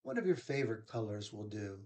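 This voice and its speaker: A man leading a guided yoga nidra relaxation, giving soothing, unhurried instructions with pauses. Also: lowish voice, expressive, a little mellow.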